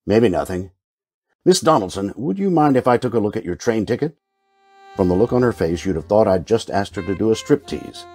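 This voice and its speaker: A man acting a shrewd, world-weary detective in an old-time radio drama, deadpan and wry in delivery. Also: on the low side, brisk, soft background music. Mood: wry, probing.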